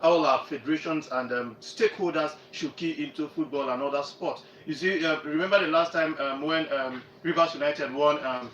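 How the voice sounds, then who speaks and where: Earnest Nigerian man talking sport, fairly high voice. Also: normal speed, hardly any pauses, a bit bright, highs cut off. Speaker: radio sports show panellist. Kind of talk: live sports commentary and discussion. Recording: roomy, distant mic, faint noise.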